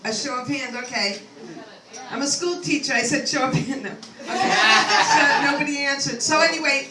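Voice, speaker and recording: A woman's voice: sits high, pushed and bright, slight background noise. An older woman doing a rambling stand-up comedy set, self-deprecating and full of chatty asides to the crowd. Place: comedy club stage. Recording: echoey room, mic at a distance, faint ambience.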